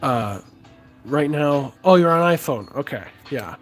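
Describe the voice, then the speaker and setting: A man with a raspy, hoarse voice after being sick, chatting casually on a livestream with halting speech and many fillers while he answers viewers. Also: a little high, expressive. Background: music playing softly.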